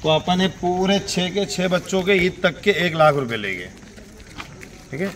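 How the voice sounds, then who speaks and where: A man's voice: high-pitched, very animated, moderate pace, a bit bright, slight background noise. A middle-aged man with an Indian accent, a farm seller chatting casually about his offers outdoors. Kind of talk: casual sales chat. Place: outdoors in an open dirt farm yard.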